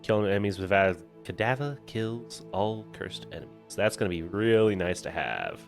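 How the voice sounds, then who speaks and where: A man's voice: lowish voice, animated, normal speed, gravelly. A man doing casual live playthrough commentary as a streamer, thinking aloud and playfully repeating phrases. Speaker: video game streamer. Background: game music.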